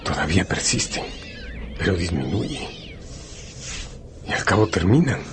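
A man's voice: low voice, wildly swooping pitch, a little slow. A man narrating a radio drama in a scripted, dramatic storytelling style. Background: music.

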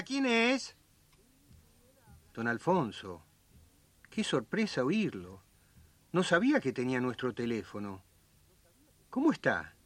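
An eager Argentine brother-in-law in a radio serial. His voice is bright and swings with animated pitch. He is polite and conspiratorial, with a Rioplatense accent. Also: no echo, full character voice. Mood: courteous. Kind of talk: radio drama acting.

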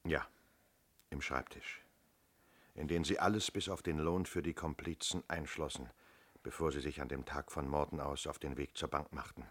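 An actor playing a shrewd, self-assured police inspector in a radio crime drama, speaking firmly and methodically. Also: male voice, a bass voice, slightly rough. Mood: probing, firm. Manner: methodical, firm, explanatory.

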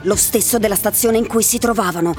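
Woman narrating a radio drama over soft music. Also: mid-range voice, a little fast.

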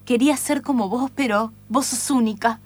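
Melodramatic, envious older sister, played by a woman, Argentine accent, slightly high voice, improvised radio theatre. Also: normal speed, darkish tone, slight background noise, natural voice. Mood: remorseful.

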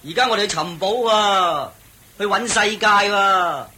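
A man's voice: high-pitched, measured pace, bright, forceful tone, full character voice, low-quality recording. An actor playing an easygoing, chatty treasure hunter who placates his companions, speaking Hong Kong Cantonese in radio drama style. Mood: excited, cheerful. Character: easygoing, chatty male treasure-hunting peacemaker. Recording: faint background noise.

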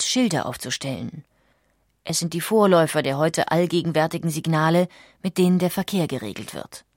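A woman's voice: on the low side, a little mellow, no room sound, studio quality. A woman reading scripted narration in the manner of a documentary audiobook.

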